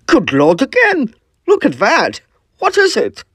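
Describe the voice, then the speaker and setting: A man playing a genial, excitable and slightly pompous Edwardian art collector in an audio drama. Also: extremely high pitch, unhurried, no room sound, studio quality, full character voice. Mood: astonished.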